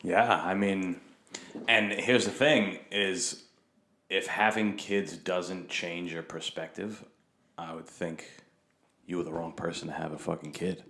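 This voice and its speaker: A man's voice: low voice, fairly even intonation, unhurried, a bit bright. A young man hosting a podcast, talking bluntly and opinionatedly with plenty of swearing. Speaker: podcast host. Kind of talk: casual chat. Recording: echoey room.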